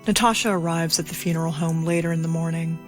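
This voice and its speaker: A woman's voice: deep voice, steady tone, fast talker, faint music behind. A woman reading scripted narration for a horror audio drama.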